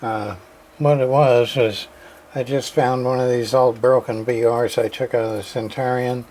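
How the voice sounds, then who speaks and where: A man giving a casual, halting walkthrough of a radio repair, full of fillers and restarts. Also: mid-range voice, fairly even intonation, a little mellow, poor audio.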